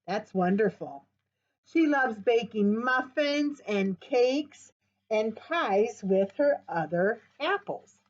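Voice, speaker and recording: Older lady doing kids storytime, slow and highish, kinda dull laptop sound. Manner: animated and encouraging, gasps with delight, sings and chants rhymes. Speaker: children's librarian. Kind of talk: virtual storytime reading and singing to children. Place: small office with window blinds, indoors.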